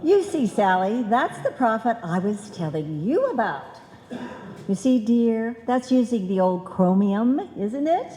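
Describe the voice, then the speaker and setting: A woman's voice: fairly high pitch, big pitch swings, measured pace, dark tone. A woman playing a scatterbrained, cheerfully naive wife in a live old-time radio comedy. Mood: naively pleased. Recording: echoey hall, live stage performance.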